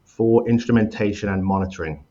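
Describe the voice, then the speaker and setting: A man hosting a podcast, reading a brisk introduction and asking upbeat questions. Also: fairly low pitch, warm tone, highs cut off. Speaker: podcast host. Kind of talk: scripted intro then interview chat.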